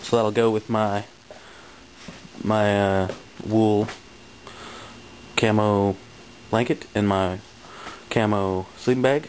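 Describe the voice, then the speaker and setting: A man's voice: fairly low pitch, fairly even intonation, slow, pauses often, a little mellow. A man chatting casually in a rambling, slangy show-and-tell, recorded on a noisy amateur home video. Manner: slangy, laid-back phrasing. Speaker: vlogger showing his finds.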